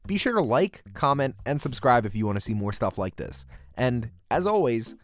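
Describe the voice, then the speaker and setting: A man delivering a scripted video review, speaking in an articulate, measured way with deliberate emphasis on key phrases. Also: medium pitch, big pitch swings, no echo. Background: background music.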